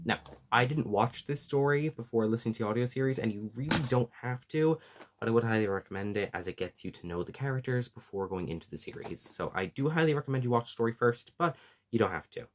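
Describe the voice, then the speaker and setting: A man's voice: medium pitch, expressive, fairly quick, a little hiss or hum. A man hosting a fan recommendation video, talking casually and enthusiastically to viewers with emphatic, gushing delivery.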